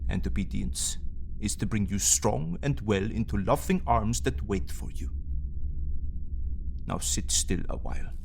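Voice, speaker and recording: Kindly old professor, solemn, eccentric, lively intonation, odd foreign phrasing, audio drama. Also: male voice, lowish voice, creaky, a little hiss or hum, full character voice. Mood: hushed, stern. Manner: solemn, fatherly, foreign-sounding syntax. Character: eccentric, kindly older male Dutch professor and doctor.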